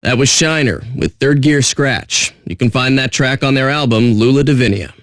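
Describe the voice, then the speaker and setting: An actor playing a surly, sarcastic radio DJ in a video game, with deadpan, contemptuous patter. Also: male voice, medium pitch, normal speed, dry recording, clean studio sound, close to an everyday voice. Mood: deadpan, smug.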